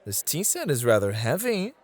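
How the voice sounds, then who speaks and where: A man's voice: medium pitch, huge pitch swings, normal speed. A man playing a charming, gallant club host in an ASMR roleplay, flirty and teasing in an effusive, courtly way. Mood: warm, teasing.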